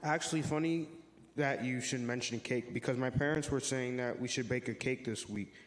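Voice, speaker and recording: Casting: earnest male youth for church reader's theater, played by a man, explanatory and friendly, mid-pitched, heard in a reverberant hall. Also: moderate pace, natural voice. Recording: echoey hall with long reverb.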